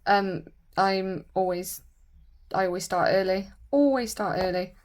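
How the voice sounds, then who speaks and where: A woman with a croaky voice from a sore throat, chatting casually and rambling with lots of fillers while doing her nails. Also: medium pitch, a little slow. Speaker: nail vlogger.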